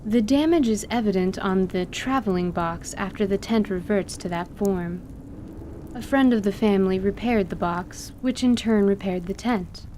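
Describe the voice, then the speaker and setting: A woman's voice: mid-range voice, moderate pace, warm tone, a little hiss or hum, close to an everyday voice. A woman playing a courteous, learned half-elf in an audio drama, speaking formally and articulately. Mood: composed, instructive. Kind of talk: audio drama acting of a tabletop campaign.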